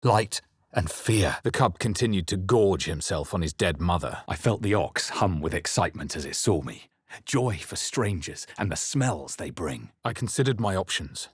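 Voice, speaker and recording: A man playing a wary, resolute fantasy adventurer in video game voice lines, with a measured, theatrical delivery. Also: low voice, animated, slightly rough, no echo, studio quality. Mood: brooding, grave narration. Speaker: player character voice. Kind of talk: scripted video game voice lines, dramatic narration.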